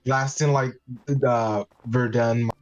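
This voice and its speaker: A man in casual group chat, speaking haltingly with "like" peppered throughout. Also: medium pitch, steady tone, no room sound. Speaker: participant in a group gaming livestream. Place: online group voice call. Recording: dull, lo-fi voice chat audio.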